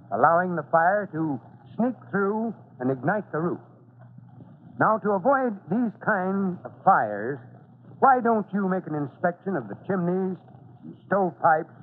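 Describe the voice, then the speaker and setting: An actor playing a brave, fatherly veteran fire chief in a 1940s radio serial. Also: on the high side. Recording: noisy, narrow-band 1940s radio recording.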